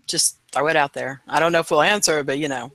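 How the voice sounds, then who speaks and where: A middle-aged woman from Oklahoma co-hosting a casual chat, talkative and quick to laugh. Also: deep voice, fairly even intonation, a bit bright, dry recording. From Oklahoma, USA. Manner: chatty, laughs often, drawn-out storytelling. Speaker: co-host of a paranormal chat show.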